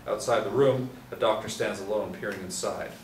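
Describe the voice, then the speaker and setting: A man reading screenplay stage directions aloud at a table read, in a plain, even way. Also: on the low side, fairly quick. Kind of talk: script reading. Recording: roomy echo, distant mic, faint hiss.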